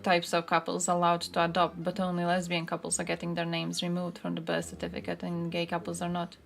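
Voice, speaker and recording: A woman's voice: lowish voice, completely flat delivery, a little fast, gravelly, faint music behind. A woman from Croatia, chatting casually and informally as a livestream host, with some swearing. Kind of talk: casual livestream chat, reacting to chat and posts.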